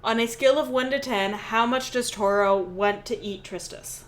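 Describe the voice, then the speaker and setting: A woman's voice: fairly high pitch, moderate pace. A woman hosting a tabletop game on a livestream, chatting casually in a playful, joking way and laughing readily. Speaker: game master and stream host.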